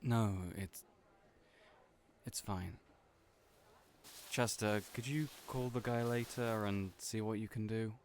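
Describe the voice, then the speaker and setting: Hesitant, soft-spoken man, slightly low voice, stammers, audio roleplay lead. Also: steady tone, takes it slowly, pauses often. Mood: gentle.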